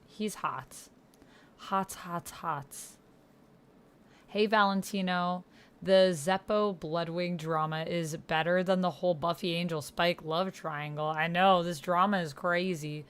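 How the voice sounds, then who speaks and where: A woman's voice: lowish voice, steady tone, slow pace, clean tone. A woman giving casual commentary while typing and reading out an online roleplay chat, thinking aloud as she goes. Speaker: YouTuber playing a text-based roleplay game. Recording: noticeable background noise, keyboard typing. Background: computer keyboard typing.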